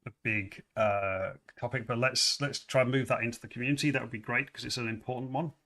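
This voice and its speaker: Bright-toned man hosting a livestream. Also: medium pitch, fairly even intonation, brisk. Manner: loose, repeats words, many fillers. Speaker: livestream host. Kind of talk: casual livestream chat.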